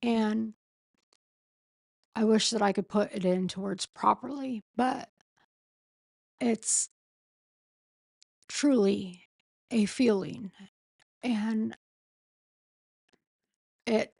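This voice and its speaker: A woman with a sore throat and a failing voice, reading a legal filing aloud in a slow, halting way and often stopping to correct herself. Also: on the high side, fairly even intonation, creaky, a little mellow, no echo. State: losing her voice, sore throat. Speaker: streamer reading a court filing. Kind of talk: casual late-night live stream.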